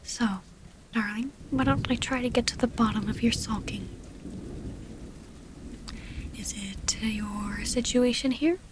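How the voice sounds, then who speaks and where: Casting: actress as refined vampire lady, devoted yet wounded; higher-pitched breathy half-whisper, formal diction, intimate ASMR drama over faint rainy noise. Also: a little slow, pauses often, lightly acted. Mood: hurt, confronting. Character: gentle, formal female vampire noble, wounded but devoted lover.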